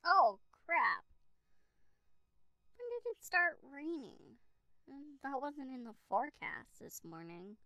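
A devoted young girlfriend, played by a woman talks to herself in an ASMR roleplay. Her voice is fairly high and very unhurried, with hesitant pauses. It is recorded close and dry. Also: very animated, pushed and bright, no room sound, full character voice, low-quality recording. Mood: dismayed, self-pitying. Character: devoted young girlfriend, eager then self-pitying in rain. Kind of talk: slow ASMR roleplay monologue. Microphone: close USB condenser microphone.

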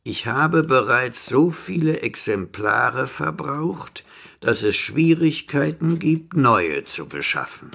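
An actor playing a sinister, imperious merchant-alchemist in a radio drama, dictating formally and deliberately. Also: male voice, mid-range voice, sing-song, vocal fry, a bit bright, husky, heavily acted. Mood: secretive, calculating.

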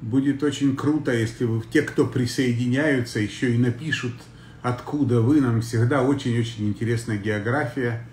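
A man in his sixties, a pediatrician hosting a live stream from his home study, talking in a warm, effusive, enthusiastic way. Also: mid-range voice, steady tone, normal speed, a little hiss or hum. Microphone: webcam or phone on a video call.

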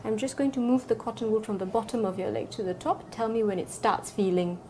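A woman's voice: fairly high pitch, steady tone, a little fast, mellow. A young adult woman working as a clinician in a small clinic room, explaining sensation tests to a patient. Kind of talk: instructional clinical exam talk. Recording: clear background room noise.

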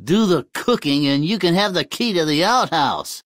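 A bossy little dwarf in a comic video game voice. He is gruff and grumbling, recorded dry and close. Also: on the high side, expressive, moderate pace, a bit bright, no echo. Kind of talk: video game character acting.

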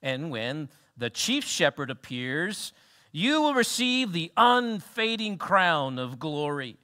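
Middle-aged New man, pastor at a church lectern; bright, slightly high voice, earnest sermon delivery with soft dips and emphatic peaks. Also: very animated, takes it slowly. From New Zealand. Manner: earnest, swings between soft lows and emphatic rises. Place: church hall with wooden wall.

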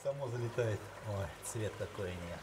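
A man's voice: lowish voice, normal speed. A man chatting casually in slangy, exclamatory reactions while visiting an indoor room of fish tanks. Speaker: companion on an aquarium visit. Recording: echoey, noisy room with running water.

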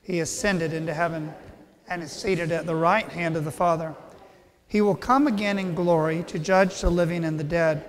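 A man serving as priest, leading the congregation's creed and prayers in a steady, measured recitation in a large church. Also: on the high side. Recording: reverberant church hall.

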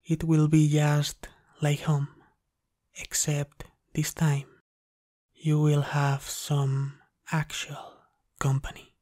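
A man's voice: a little high, monotone, slow, mellow, no room sound, clean studio sound. A man playing a possessive, dominant vampire captor in an ASMR roleplay, speaking in a menacing, condescending half-whisper. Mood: coaxing, possessive. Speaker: ASMR voice actor.

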